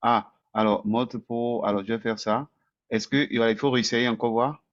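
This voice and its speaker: A man's voice: medium pitch, fairly even intonation, moderate pace, dry recording. A man moderating a workshop over a video call, making brief, practical remarks. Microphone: video call microphone. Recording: dull, lo-fi, dry video-call audio.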